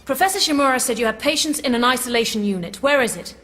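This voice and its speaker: A woman's voice: sits high, expressive, fast talker, a bit bright. A woman playing a stern lieutenant in a science fiction drama, clipped, authoritative and demanding. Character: brisk, stern female lieutenant of a fighting force. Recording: reverberant drama mix, music behind.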